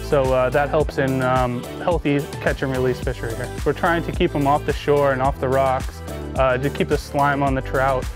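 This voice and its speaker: A man's voice: mid-range voice, fairly even intonation, normal speed. A man in his thirties giving a casual, enthusiastic gear walkthrough to camera like a shop staffer, chatty and informal. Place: indoors in a small tackle shop. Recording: music behind the voice. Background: music, guitar.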